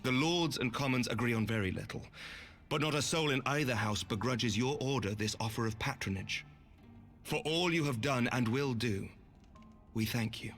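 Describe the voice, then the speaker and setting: An actor playing a courteous noble lord commander in a video game, speaking formally and earnestly in measured phrases. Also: male voice, mid-range voice, fairly bright tone, soft background music, close to an everyday voice. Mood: grateful, formal. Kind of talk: video game cutscene acting.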